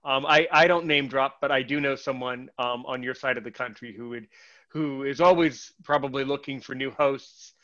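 A man's voice: medium pitch, steady tone, normal speed, sharp tone, muffled recording. A man hosting a podcast in casual conversation, rambling with many false starts and repeated words. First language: English. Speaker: podcast host.

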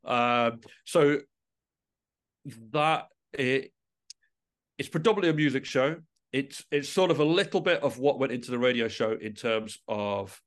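A man talks as a guest in a relaxed podcast conversation. His delivery is reflective and a little hesitant, circling back to restart phrases as he shapes each thought. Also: lowish voice. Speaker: interview guest, musician and composer.

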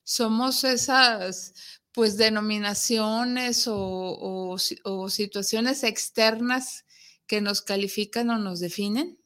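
A woman's voice: medium pitch, steady tone, takes it slowly, a bit bright. A woman with a Mexican accent hosting a talk show, presenting conversationally to her audience in an explanatory way. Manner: explanatory, poses rhetorical questions. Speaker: host of an interactive talk show.

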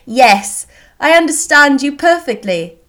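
Lady doing clever young woman from a classic novel, playful, highish voice, very expressive. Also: takes it slowly, heavily acted. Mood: teasing. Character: witty, self-assured young woman, quick and teasing.

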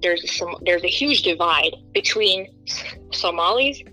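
A woman's voice: on the low side, measured pace, soft background music, low-quality recording. A young woman from Kenya as an interview guest, speaking in an earnest, emphatic way. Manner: earnest, emphatic, animated when quoting others. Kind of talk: candid interview talk.

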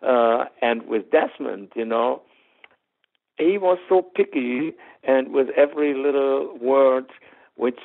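A man's voice: medium pitch, takes it slowly, creaky. A man with a German accent, a rock singer answering interview questions in a rambling way, with many fillers and restarts and easy laughter. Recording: tinny phone-line audio.

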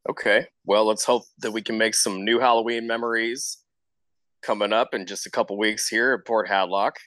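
A man's voice: on the low side, steady tone, normal speed, a bit bright, no echo. A man hosting a casual interview, informal and encouraging as he talks. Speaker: show host, interviewer.

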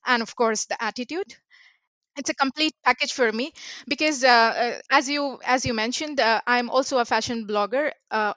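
A woman's voice: fairly high pitch, fairly bright tone, no echo. Hesitant woman on a dull, dry line. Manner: hesitant, many fillers. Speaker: fashion blogger. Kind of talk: interview answer.

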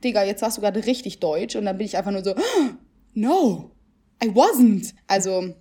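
A woman's voice: mid-range voice, expressive, moderate pace, warm tone, husky. A young woman who grew up in Germany, chatting casually and animatedly, swearing and dropping English phrases into her talk. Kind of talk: casual chat.

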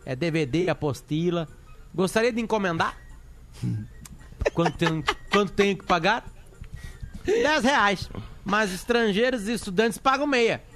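A high-pitched man tells a joke on a live stream. He plays both parties in a back-and-forth with a light, playful delivery. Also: animated, unhurried, long pauses, fairly bright tone. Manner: playful, acts out both sides of a joke dialogue. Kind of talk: casual joke telling.

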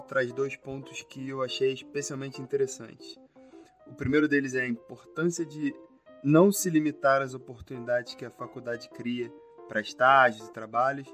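A man hosting a casual podcast, introducing the episode and asking easygoing questions with short acknowledgements. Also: medium pitch. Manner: friendly, brief acknowledgements. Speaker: podcast host.

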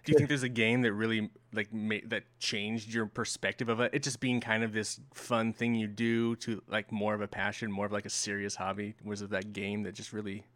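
A man's voice: lowish voice, level tone, brisk, few pauses, a bit bright, no room sound. Casual male podcast host. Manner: friendly, rambling questions with fillers.

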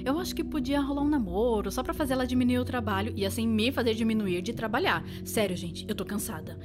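A woman's voice: fairly high pitch, quick pace, natural voice. A Brazilian woman voicing a weary, sceptical, grumbling inner voice in an audio drama. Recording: music behind the voice.

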